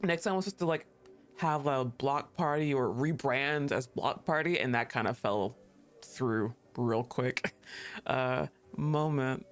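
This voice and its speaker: Excitable male streamer chatting, music behind. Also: medium pitch, unhurried. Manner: excitable, laughs often, swears casually. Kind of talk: casual livestream chat.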